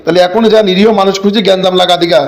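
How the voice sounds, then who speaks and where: A man's voice: high voice, fairly even intonation, rapid-fire. A man voicing a crafty, greedy village boss in a comic cartoon, bossy, with a rural Bangladeshi Bengali accent. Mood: greedy, approving.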